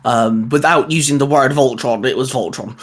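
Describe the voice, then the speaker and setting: A man's voice: mid-range voice, unhurried, fairly bright tone. A man hosting a YouTube show, chatting casually about geeky favourites. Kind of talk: casual chat about cartoons.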